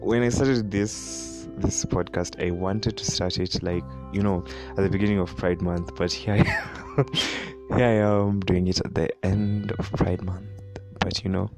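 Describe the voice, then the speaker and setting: A young Kenyan man with a deep voice and a Kenyan accent, chatting casually on his own podcast, rambling with many fillers and frequent laughs. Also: fairly even intonation, a little slow, over music. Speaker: podcast host. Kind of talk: casual solo podcast chat.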